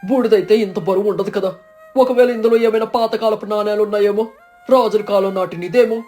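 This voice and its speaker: A woman voicing a humble, cautious village fisherman in an animated moral story, speaking in a confiding, guarded way. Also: mid-range voice, brisk, soft background music, natural voice. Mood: cautious hope.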